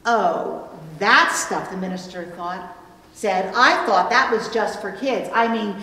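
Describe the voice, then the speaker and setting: A middle-aged woman pastor delivering a sermon in a measured, deliberate way, recorded in a church room. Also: mid-range voice, bright, forceful tone. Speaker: pastor preaching. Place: indoor church room at a pulpit. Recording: echoey hall, long reverb, distant mic.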